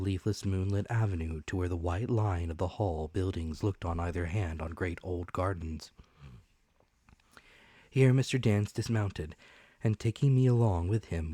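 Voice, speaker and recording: A man's voice: sits low, dark tone, no echo, studio quality. A man reading a classic adventure story as an audiobook narrator, with dramatic scripted delivery.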